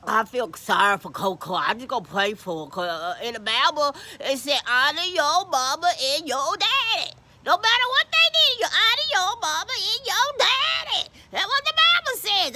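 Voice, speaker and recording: A bright-toned, plaintive American deacon played by a woman speaks in folksy dialect. He is simple, pious and gently preachy, repeating himself earnestly in a comedy skit. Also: high voice, pitched above the performer's own voice. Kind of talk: solo character skit monologue.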